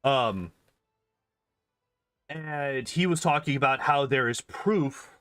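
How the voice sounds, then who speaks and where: A man's voice: mid-range voice, slow, fairly bright tone, clean tone, no room sound. A man chatting casually as a streamer, rambling and repeating phrases emphatically to make his points. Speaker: game streamer and video host. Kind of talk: casual rambling livestream chat.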